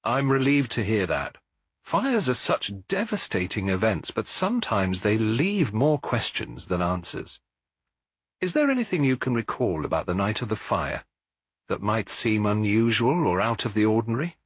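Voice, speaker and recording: A kindly elderly lady sleuth, voiced by a male narrator, in a mystery audiobook. The speech is polite, measured and gently probing, with lively movement in pitch and a slightly husky edge. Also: no room sound, studio quality.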